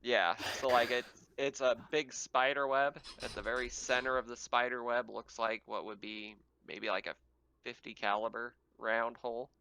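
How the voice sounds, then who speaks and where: A man's voice: mid-range voice, little pitch movement, normal speed, vocal fry, sharp tone, smooth. A man running a tabletop game as game master, describing scenes casually and a little hesitantly as he pieces them together.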